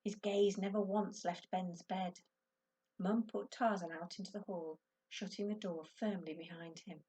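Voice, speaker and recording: A woman's voice: on the low side, fairly even intonation, a little slow, mellow, breathy edge, poor audio. A woman teacher reading a children's story aloud expressively to her class.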